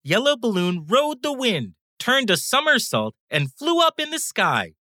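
A woman reading a children's bedtime story as a scripted, animated narrator, acting out the sound words. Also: on the high side, measured pace, no room sound, studio quality.

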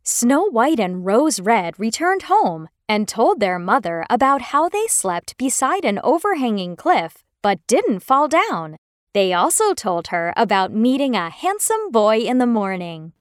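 A woman narrating a scripted children's fairy tale in an expressive, careful way. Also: fairly high pitch, unhurried, dry recording, studio quality. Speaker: storyteller.

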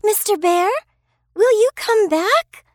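A woman voicing a sweet, caring, gentle little girl in a children's storybook. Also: extremely high pitch, animated, slow, no echo, studio quality, full character voice. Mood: wistful.

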